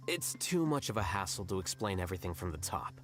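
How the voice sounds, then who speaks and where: A man voicing an aloof, coolly logical young scholar who speaks calmly and curtly in a video game. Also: deep voice, fast talker, faint music behind. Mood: dry. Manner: dry, calm, curt.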